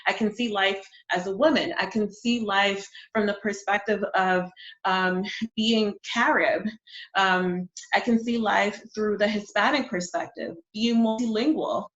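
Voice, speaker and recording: A woman's voice: medium pitch, fairly even intonation, unhurried, fairly bright tone. A woman from Honduras, a marketing strategist speaking confidently and emphatically as an interview guest. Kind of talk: interview conversation. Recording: dull, roomy sound.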